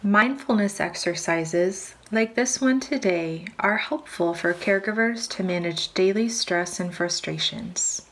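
A woman reading a scripted guided imagery meditation in a calm, soothing, measured manner with long pauses. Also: medium pitch, rough recording.

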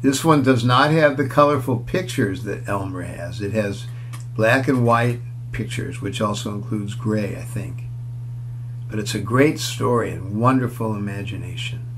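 A man's voice: lowish voice, normal speed. Older man reading storybooks aloud over noise. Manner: simple, explanatory talk as if to young children. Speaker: children's storybook reader. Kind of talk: read-aloud storytelling with casual asides. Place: small furnished room indoors. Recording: clear background noise.

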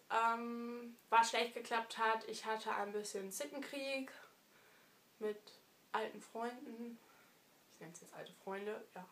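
A young woman vlogging casually from her living room with a stuffy nose from a cold, chatty and affectionate, pausing often with fillers. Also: on the high side, bright, forceful tone. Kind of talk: casual year-review vlog.

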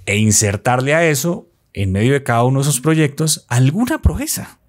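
Bogotá guy in his 40s on a podcast, lively storyteller, close desk mic. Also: mid-range voice, normal speed. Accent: Bogotá Colombian. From Colombia. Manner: animated, gestures while talking, playful asides. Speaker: guest, motorcycle traveler. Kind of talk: storytelling interview chat. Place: small decorated podcast studio.

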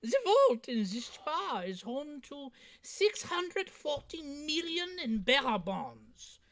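A woman playing a smug, taunting villain in a theatrical, drawn-out way at a comedy table read. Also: high-pitched, sing-song, a bit bright, exaggerated voice. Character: smug taunting villain, an old rival of a cop. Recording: video call.

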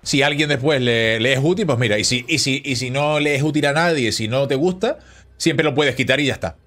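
A man's voice: mid-range voice, a little fast, soft background music. Chatty man streaming a card game. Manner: relaxed, chatty, reads and answers chat. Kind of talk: casual live-stream chat while playing. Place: small home room, indoors. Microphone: headset.

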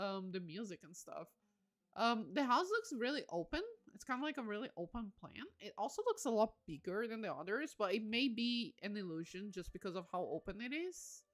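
A woman hosts a casual gaming livestream with a middle-pitched, highly expressive voice. She muses aloud as she plays, breaking into enthusiastic exclamations, and her intonation moves up and down freely. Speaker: livestream host. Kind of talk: casual game commentary.

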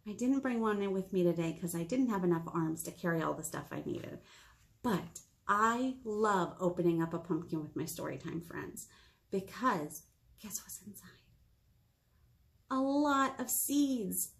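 A woman in her thirties hosting a children's storytime, speaking in an animated, encouraging way and asking young viewers questions. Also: mid-range voice, creaky. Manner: animated, encouraging, asks questions and pauses for answers. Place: small indoor meeting room.

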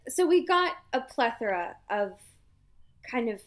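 A woman co-hosting a podcast in casual chat, giggling often and trailing off softly at the ends of sentences. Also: fairly high pitch, sing-song, measured pace. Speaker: podcast co-host.

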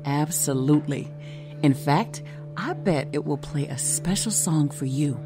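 An actress playing a tactful, gently probing woman in an audio drama. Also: deep voice, measured pace, over music. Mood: gentle coaxing.

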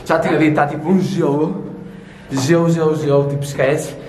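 A man's voice: fairly high pitch, steady tone, moderate pace, crowd noise, rough recording. A young man in his twenties with a European Portuguese accent, chatting casually to a camera held at arm's length while touring a city, rambling and full of fillers. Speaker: travel vlogger. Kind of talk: casual travel vlog. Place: outdoors in city streets and inside a stone cathedral and a big store. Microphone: handheld camera at arm's length. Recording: city street noise behind.

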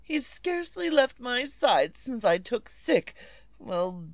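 Fretful bedridden wife, radio thriller; high voice, swooping intonation, imperious and easily frantic. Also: female voice, sing-song, slow, no echo. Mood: anxious. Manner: fretful, imperious, stammering and repeating herself. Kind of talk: 1940s radio suspense acting.

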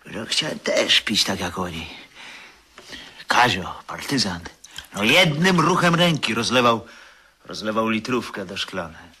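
A despairing drunk in a radio play, low and slow, with a forceful, husky voice. He rambles wistfully, sighs and repeats himself in self-pity. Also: very animated, heavily acted. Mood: wistful, nostalgic. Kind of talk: Polish radio drama acting.

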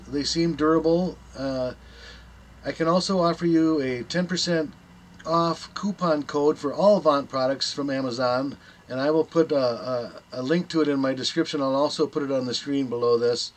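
A man in his fifties presenting a product review in a plain, step-by-step instructional way. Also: on the high side. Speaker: video host, gear reviewer. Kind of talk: product review narration to camera.

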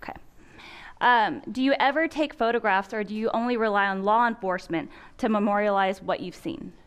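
A woman's voice: medium pitch, fairly quick, clean tone. Woman leading a classroom Q&A. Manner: conversational, asks the room questions. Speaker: prosecutor leading a training session. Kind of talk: interactive classroom training. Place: large conference training room.

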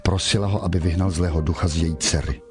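A man with a deep voice narrates a scripture text. His delivery is measured and solemn, clearly scripted, with music playing behind him. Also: steady tone.

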